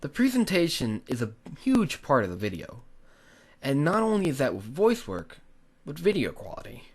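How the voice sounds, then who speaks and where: A man's voice: medium pitch, huge pitch swings, moderate pace, breathy edge. A man narrating a scripted tutorial, speaking in an earnest, instructive way straight to the viewers. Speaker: tutorial host, hobby video creator.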